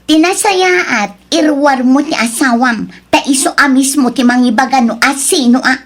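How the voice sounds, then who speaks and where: A woman's voice: sits high, normal speed. A woman with a Filipino accent playing a brazen, demanding young mistress in an audio drama, insistent and confrontational. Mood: defiant, demanding. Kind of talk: Ilocano audio drama acting.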